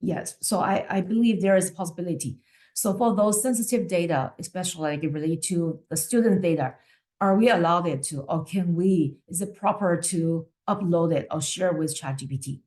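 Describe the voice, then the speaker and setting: A woman whose first language is not English, giving a teaching presentation over a video call in a conversational way, often checking in with "right?" and pausing on fillers. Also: lowish voice, a little mellow. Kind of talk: webinar presentation.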